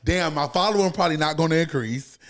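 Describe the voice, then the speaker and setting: A man's voice: a little high, fast. American guy as podcast guest, casual storytelling, repeats himself for emphasis, swears a lot. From United States, born in New York, raised in Savannah, Georgia. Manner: emphatic, repeats phrases for stress, profane. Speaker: podcast guest, comedian and motivational speaker.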